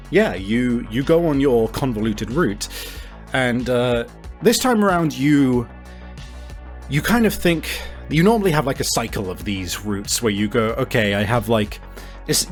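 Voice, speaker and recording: A man narrating a tabletop game as game master, conversational and halting, with deliberate pauses. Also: medium pitch. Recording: music playing behind. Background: background music.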